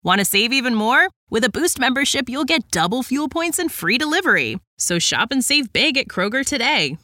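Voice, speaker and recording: A woman's voice: high-pitched, big pitch swings, normal speed, bright, forceful tone, no room sound, clean studio sound. A woman reading a scripted grocery advertisement in a polished, upbeat way. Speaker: ad announcer. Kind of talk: scripted radio ad read.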